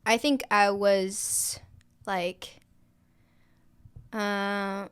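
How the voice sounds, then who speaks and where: A woman's voice: medium pitch, level tone, drawn-out words, smooth. A young woman, a native Spanish speaker, co-hosting a podcast in informal, slangy, casual conversation. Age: young adult. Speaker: podcast co-host. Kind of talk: casual sibling chat.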